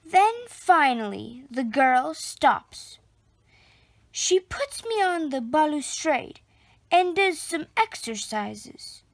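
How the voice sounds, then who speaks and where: A woman reading a children's picture book aloud expressively, with deliberate phrasing and dramatic pauses. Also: sits high, sing-song, gravelly, dry recording. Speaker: storybook reader.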